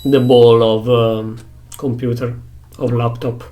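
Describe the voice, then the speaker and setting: A young man with an Italian accent talks through his theories while playing, working things out slowly in English that isn't his first language. His voice sits at a mid pitch, with faint game music behind him. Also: flat delivery, dark tone. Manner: thinking aloud, theorizing, halting non-native English. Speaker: streamer. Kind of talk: casual gameplay commentary.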